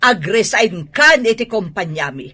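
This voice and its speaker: A resolute wife who has had enough in a radio drama. Her voice is husky and sharp, and it swings widely as she scolds. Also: mid-range voice, sing-song, unhurried, faint music behind, heavily acted. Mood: angry, scornful. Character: fed-up, resolute wronged wife. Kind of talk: Ilocano radio drama acting.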